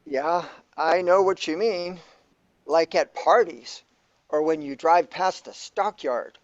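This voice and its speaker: A man's voice: fairly high pitch, no echo. A man playing a laid-back, philosophical character who explains things matter-of-factly in an amateur audio drama. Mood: musing. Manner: casual, philosophical, unfazed. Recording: dull lo-fi dry recording.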